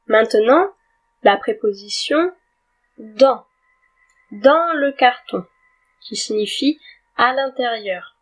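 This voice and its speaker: A woman gives a grammar lesson at a slow, careful pace. Her voice sits a little high, and she speaks clearly and deliberately, spelling words out letter by letter. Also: animated. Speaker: French teacher.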